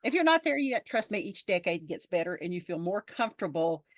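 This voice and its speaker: A woman's voice: lowish voice, very animated, fairly quick, no echo, clean studio sound. A woman in her fifties, a podcast guest, talking frankly and earnestly and often restarting her words as she thinks. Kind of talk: casual conversation. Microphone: video call.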